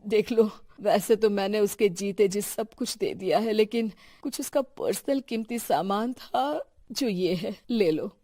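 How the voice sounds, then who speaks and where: Woman voicing a mellow, gentle elderly father-in-law. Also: medium pitch, measured pace, no room sound, studio quality. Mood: sad, gentle.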